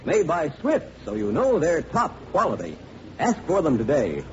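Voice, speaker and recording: A dull vintage radio recording with faint hiss presents a male announcer. His fairly high voice swings widely as he delivers cheerful, emphatic 1940s sales patter. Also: huge pitch swings, moderate pace, darkish tone.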